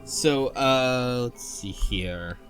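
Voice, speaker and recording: A man's voice: medium pitch, very slow, fairly bright tone. A man chatting in a rambling, informal way as a podcast panelist recapping a story. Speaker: book club podcast panelist. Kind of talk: casual chat recapping a game's story. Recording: music playing behind the talk. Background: music.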